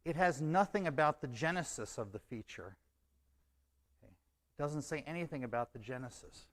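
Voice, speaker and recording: A man giving a measured, explanatory talk at a scientific conference. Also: fairly high pitch, lively intonation. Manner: measured, explanatory, says okay as a filler. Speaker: conference presenter.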